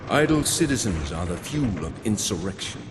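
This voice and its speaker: A man playing an arrogant, coldly confident warlord villain in a video game, speaking formally, with loud music behind. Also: fairly low pitch, sing-song, normal speed, noisy background.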